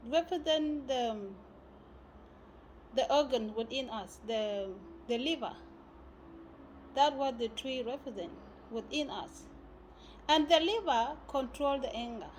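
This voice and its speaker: A woman from South Sudan giving a spiritual talk, often pausing and searching for words. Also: sits high, slight background noise. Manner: halting, searching for words with many fillers. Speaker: spiritual teacher.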